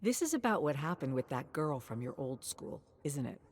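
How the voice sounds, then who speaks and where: A woman voicing a calm, accepting mother in a story, reassuring and unhurried. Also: sits low, slight background noise. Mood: knowing.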